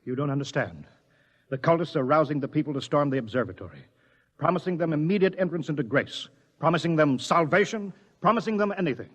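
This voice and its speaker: An actor playing a tense, commanding observatory director in a radio drama, urgent and authoritative. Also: male voice, a little high, expressive, fast. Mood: shaken. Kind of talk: mid-century radio drama acting.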